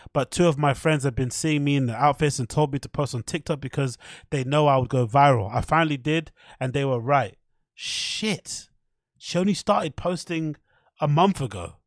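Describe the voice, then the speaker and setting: A man's voice: fairly high pitch, no echo, studio quality. A man with a British accent hosting a podcast, rambling casually in slangy, sweary, irreverent commentary as he reads things out and reacts. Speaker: podcast host.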